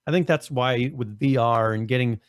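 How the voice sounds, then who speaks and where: A man co-hosting a casual gaming podcast, losing his voice, his throat strained and cracking on high notes, rambling with many restarts. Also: normal speed, clean tone, dry recording, studio quality. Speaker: podcast co-host.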